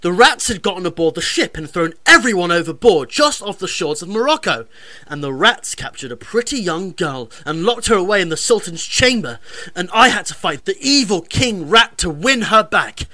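A woman's voice: lowish voice, animated, normal speed, bright, forceful tone, no room sound. A woman playing an earnest, plucky young male hero in a radio pantomime.

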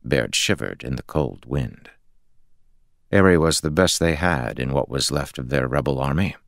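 A man's voice: very deep voice, lively intonation, normal speed, gravelly, no echo, clean studio sound. A man reading fantasy fiction as an audiobook narrator, in a scripted storytelling style.

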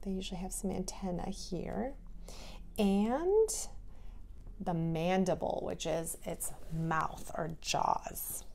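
Woman, preschool teacher, slow careful read-aloud, simple teacherly phrasing, noticeable vocal fry. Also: lowish voice, a little mellow, a little hiss or hum. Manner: clear simple questions, careful teacherly delivery. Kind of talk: read-aloud lesson for young children.

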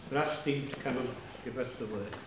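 A man's voice: mid-range voice, steady tone, fairly quick, very noisy. A man leading worship in a church, speaking emphatically and putting questions to the congregation. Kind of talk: sermon exhortation. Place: church sanctuary. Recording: echoey church hall.

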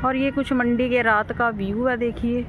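A woman's voice: a little high, steady tone, normal speed, narrow, tinny audio. A woman in her thirties vlogging on a phone at arm's length, walking the streets at night and teasing her friends as she talks to viewers. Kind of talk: casual walking vlog. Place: outdoors on town streets at night. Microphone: phone held at arm's length. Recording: loud street and traffic noise.

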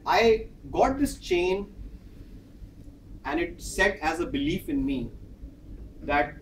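A man in his thirties, a Hindi speaker with an Indian English accent, delivers a prepared speech in a large carpeted office training room. His voice sits a little high and he moves about animatedly, acting out each exchange. He is recorded from a distant camera, so the room echoes around him. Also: a little hiss or hum. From northern India. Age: 30s. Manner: animated, acts out dialogue with gestures, pacing while speaking. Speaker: speaker at a club meeting. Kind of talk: prepared personal speech with storytelling. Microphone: far camera. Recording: echoey room, far from the mic.

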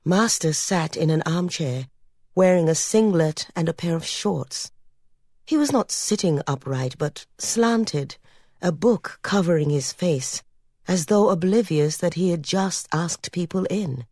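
A woman reading literary fiction as an audiobook narrator, measured and expressive in her storytelling. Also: fairly low pitch, no echo, clean studio sound.

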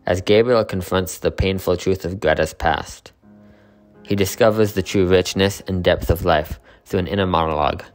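A man giving scripted narration for a literary video essay in a steady, explanatory manner. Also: sits low, moderate pace, faint music behind.

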